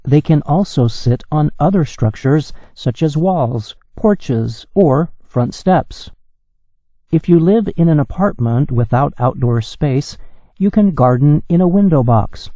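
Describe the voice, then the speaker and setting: A man reading a news article in a measured, evenly paced way for English learners. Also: on the high side, animated, mellow, no room sound. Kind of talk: slow, clear scripted reading.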